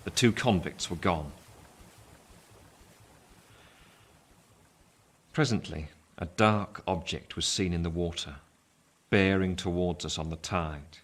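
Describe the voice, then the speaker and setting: An actor playing an earnest Victorian gentleman who narrates his own past in literary, measured storytelling for a radio play. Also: low voice. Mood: grave. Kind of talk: first-person dramatic narration, radio play. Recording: clear ambient sound effects behind voice.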